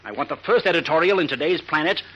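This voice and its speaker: A blustering crime boss in an old radio serial. His voice is fairly high, forceful and animated, pushing out impatient orders. Faint noise runs through the vintage recording. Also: fast talker, muffled recording. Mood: scheming, overbearing. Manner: commanding, blustering. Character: domineering, scheming male villain boss, blustering and impatient. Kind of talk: 1940s radio acting.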